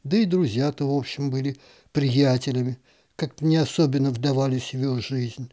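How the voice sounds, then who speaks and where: A man narrating a short story in an expressive literary reading, with a wry, ironic delivery. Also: medium pitch, normal speed.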